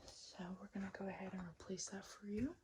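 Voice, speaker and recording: A woman doing an ASMR roleplay as a repair technician, whispering and speaking softly in a gentle, reassuring, unhurried way. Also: medium pitch, steady tone, heavy background noise. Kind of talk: ASMR roleplay, mostly whispered and soft-spoken.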